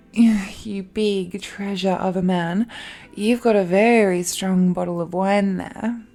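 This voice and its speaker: Slow, tender dragon-girl fiancée, played by a woman. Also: medium pitch, warm tone. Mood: teasing, affectionate. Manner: breathy, hesitant, giggly, tender. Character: affectionate, possessive young dragon-blooded woman, giddy bride-to-be. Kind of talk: intimate romantic audio roleplay.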